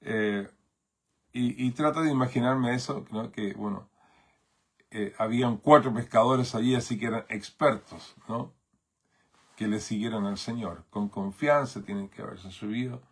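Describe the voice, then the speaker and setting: A man's voice: lowish voice, a little slow, husky. A man from Chile with a Chilean Spanish accent, preaching a sermon as pastor in a thoughtful way, with many fillers and tag questions.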